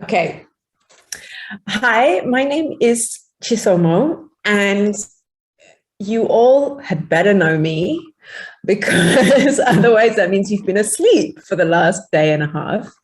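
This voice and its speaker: A woman's voice: mid-range voice, animated, takes it slowly. A woman joining a workshop by video call, introducing herself playfully and laughing between phrases. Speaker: workshop participant.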